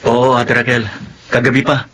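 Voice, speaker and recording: A man's voice: mid-range voice, monotone, normal speed, fairly bright tone, slight background noise, natural voice. An actor playing a friendly, generous young man in a Filipino radio drama, casual and easygoing. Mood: cheerful.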